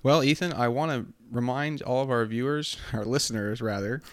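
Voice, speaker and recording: A man hosting a film podcast, his voice gravelly from a cold, with dry, wry humor. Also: medium pitch, normal speed, dry recording. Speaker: podcast host. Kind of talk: casual podcast chat.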